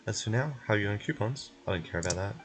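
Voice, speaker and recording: A man's voice: on the low side, lively intonation, fast talker, voice pushed down, close to an everyday voice. A man voicing a casual, unbothered prison guard who runs games in a video game. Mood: matter-of-fact. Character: easygoing male prison guard running a betting game.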